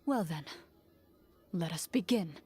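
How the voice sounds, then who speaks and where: An actress playing a solemn game master in a game, husky and measured, narrating with suspense. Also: female voice, lowish voice, lively intonation, dark tone, no echo, exaggerated voice. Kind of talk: dramatic scripted game narration. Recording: game music behind.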